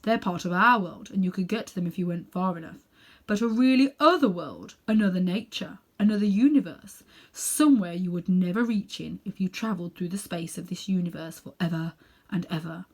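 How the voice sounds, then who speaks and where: A woman's voice: lowish voice, fairly quick. A woman plays an elderly magician uncle from a children's storybook. He is sinister and full of himself, talking down to his listener with pompous, heavily stressed phrases. He takes offence easily. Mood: awed, proud. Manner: pompous, condescending, emphatic.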